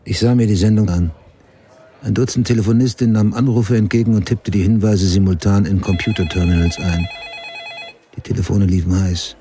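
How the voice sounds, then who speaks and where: Dark-voiced, low, terse male narrator, an actor. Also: fairly even intonation, a little fast, natural voice. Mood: matter-of-fact. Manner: terse, matter-of-fact. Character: adult male crime writer recounting his past, sober and hard-boiled. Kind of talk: scripted radio drama narration.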